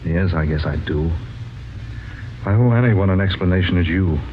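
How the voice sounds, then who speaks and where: An actor playing an earnest, troubled writer in 1940s radio drama, measured, sombre and reflective. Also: male voice, low voice, close to an everyday voice. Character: earnest, troubled male pulp writer telling his story. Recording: muffled, noisy 1940s radio recording.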